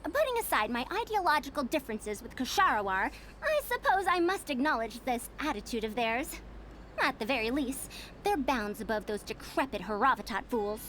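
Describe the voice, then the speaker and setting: A woman's voice: high voice, very animated, normal speed, a bit bright, slight background noise. A woman voicing a haughty senior female scholar in a video game, prim and self-important, with lofty phrasing.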